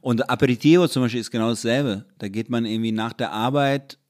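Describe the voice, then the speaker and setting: A man's voice: medium pitch, quick pace, darkish tone. A man from Germany in casual chat, telling stories with many restarts and fillers. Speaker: conversation partner.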